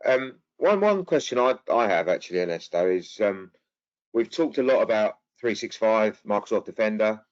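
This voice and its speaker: A man's voice: on the low side, animated, normal speed, lo-fi sound. A man hosting a webinar and reading out audience questions, briefly and with some hesitation. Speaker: webinar host.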